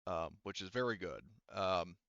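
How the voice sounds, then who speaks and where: A man acting as game master, casually narrating and making rulings while thinking aloud in a halting way. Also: sits low, creaky, fairly bright tone, no echo, dull audio.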